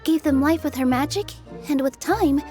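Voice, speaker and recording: A woman playing a gentle, earnest young dancer turned forest fairy in a video game, speaking politely. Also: high voice, moderate pace, lightly acted. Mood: earnest, explaining. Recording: music playing behind the voice.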